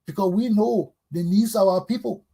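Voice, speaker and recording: Husky, high-pitched Liberian man, combative. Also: normal speed, mellow. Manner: combative, emphatic, preachy with rhetorical repetition. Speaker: talk show host. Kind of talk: impassioned political monologue.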